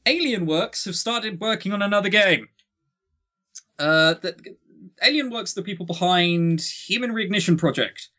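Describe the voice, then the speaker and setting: Slightly high male voice, early twenties, podcast co-host, casual joking chat, tongue-in-cheek, self-deprecating. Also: normal speed, sharp tone.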